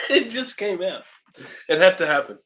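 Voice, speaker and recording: A man's voice: sits high, big pitch swings, unhurried, gravelly, fairly bright tone. A man co-hosting a podcast in casual, joking banter. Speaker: podcast co-host.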